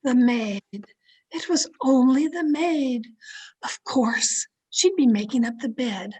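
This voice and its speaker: A woman's voice: sits high, slow pace, darkish tone, slightly rough, full character voice. A woman playing an anxious, guarded mystery novelist in an old-time radio drama, with a formal, theatrical delivery. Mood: tense, uneasy. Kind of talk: old-time radio drama acting, first-person suspense narration. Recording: remote video-call recording with music behind.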